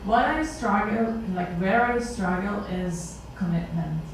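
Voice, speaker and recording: A woman's voice: medium pitch, unhurried. A woman in an audience casually asking a question. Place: indoor meetup event space. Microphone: far camera. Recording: very echoey room, distant voice, noisy background.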